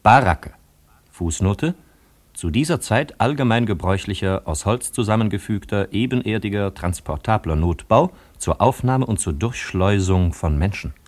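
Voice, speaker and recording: Casting: bookish record reader, low voice, precise and dry radio drama narration. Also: male voice, expressive, normal speed. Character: dry male reader of reference book entries. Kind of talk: radio play scripted narration.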